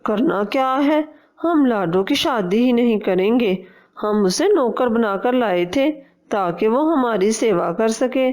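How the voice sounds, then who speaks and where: Lady doing a grumpy selfish dad bird from a cartoon, blunt n scornful, slow talking. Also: a little high, expressive, darkish tone. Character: greedy, harsh, selfish father bird.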